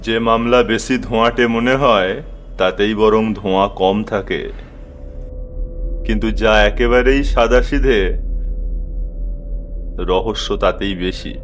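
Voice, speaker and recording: A man acts out a shrewd, composed detective of an audio drama. He speaks slowly and deliberately in a mid-range voice, probing and musing. Faint noise sits behind him. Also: lowish voice, steady tone.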